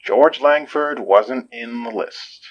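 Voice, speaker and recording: A man plays a matter-of-fact investigator in a horror podcast drama. The voice sits at a middling pitch, and the recording is a little dull. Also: slow pace, close to an everyday voice. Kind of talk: naturalistic horror audio drama dialogue.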